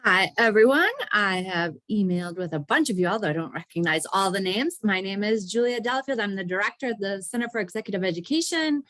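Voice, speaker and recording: A woman from upstate New York, a centre director, introducing herself on a video call in a relaxed, conversational way. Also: mid-range voice, fast talker, hardly any pauses, no echo.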